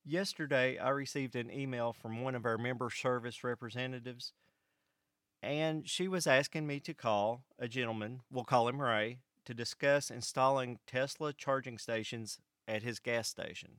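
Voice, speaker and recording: An American man telling a first-person story to an audience in measured, short phrases, as a vlog host. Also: mid-range voice, steady tone, a bit bright, clear, resonant tone, no room sound, studio quality. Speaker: vlog host and electric cooperative employee.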